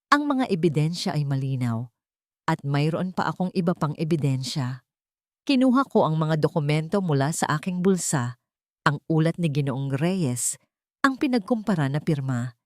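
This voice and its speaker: Female narrator, lower register, dramatic scripted storytelling, dry studio-like sound. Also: no room sound.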